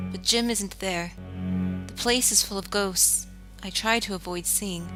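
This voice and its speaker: An actress voicing a grieving jazz singer who narrates her own story in a quiet, bitter, world-weary way, often sinking to a whisper. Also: medium pitch. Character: grieving, haunted woman jazz singer seeking revenge. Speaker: game character. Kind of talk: scripted game voice acting, confessional narration. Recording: faint music underneath.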